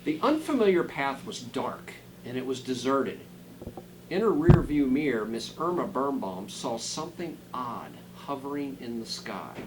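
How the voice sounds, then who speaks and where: A man reading a children's storybook aloud dramatically, dropping into quieter asides to his listeners. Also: mid-range voice, lively intonation, a little slow, noisy background. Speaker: school superintendent reading a picture book aloud.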